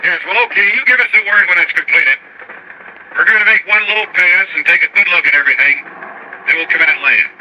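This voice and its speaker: High-voiced American male flier, clipped and urgent, 1950s radio drama, dull vintage sound. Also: quick pace, sharp tone, slight background noise. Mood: tense, businesslike. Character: brisk, businesslike man radioing from a troubled plane. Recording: dull old radio broadcast recording.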